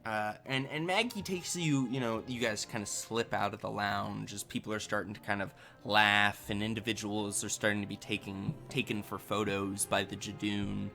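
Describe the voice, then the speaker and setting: A man narrating an improvised tabletop game as game master in a casual, halting way. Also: lowish voice, steady tone, vocal fry, pushed and bright, soft background music. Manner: casual, halting, filler-heavy.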